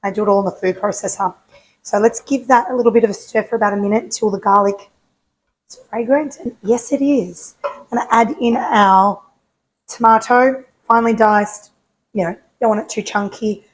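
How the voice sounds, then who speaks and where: A woman with a croaky voice hosting a home cooking show, chatting casually and answering her own questions as she cooks. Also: medium pitch, rough recording. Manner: chatty, asks herself questions and answers them.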